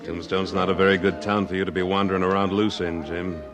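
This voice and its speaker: Man playing a deep-voiced laconic Old West cattleman. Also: fast, over music, lightly acted. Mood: dry, firm. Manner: laconic, deadpan, unbending. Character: tough, dry, independent cattleman of the Old West. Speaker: lead actor and narrator. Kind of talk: 1950s radio western acting. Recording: vintage radio drama recording.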